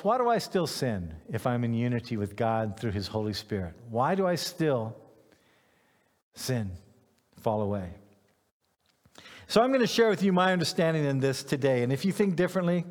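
Male preacher, middle-aged, fairly high register, informal self-deprecating storyteller, recorded with long hall echo. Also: expressive, moderate pace. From Ghana, West Africa. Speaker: pastor. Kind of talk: conversational sermon.

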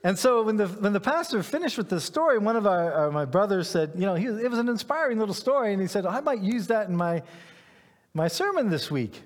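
A man's voice: high voice, big pitch swings, a little fast. A middle-aged man from Ghana, a pastor giving an informal, conversational sermon in an anecdotal, self-deprecating way. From Ghana, West Africa. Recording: long hall reverb.

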